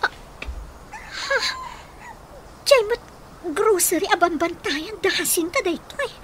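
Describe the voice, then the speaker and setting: A woman's voice: extremely high pitch, lively intonation, a little slow, a bit bright, a little hiss or hum, full character voice. An actress playing a distressed, pleading captive woman in a Philippine radio drama, emotive and exclamatory, with a Filipino accent. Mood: tense, determined.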